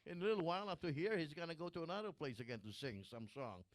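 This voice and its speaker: A man hosting a live stream, joking with a guest in halting speech full of restarts. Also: on the high side, expressive, dry recording. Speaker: host.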